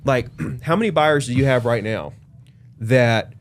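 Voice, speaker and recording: A man's voice: medium pitch, measured pace. A man co-hosting a casual podcast chat, talking loosely with lots of fillers and restarts. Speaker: podcast co-host, real estate agent.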